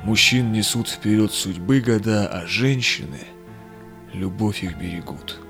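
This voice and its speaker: A man's voice: fairly low pitch, unhurried, gravelly, a bit bright, faint music behind. An actor playing a weary Cossack explorer and father in an audio drama, speaking in a solemn, declamatory way. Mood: solemn, resolute. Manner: grave, declamatory. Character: weary, dutiful male Cossack explorer returned home, a father. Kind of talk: solemn audio drama acting in verse and old chronicle prose.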